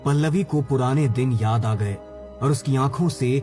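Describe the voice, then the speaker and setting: A man narrates a scripted horror tale as the storyteller. His pitch sits in the middle range for a man. Music plays beneath his voice. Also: measured pace, mellow. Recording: music under the voice.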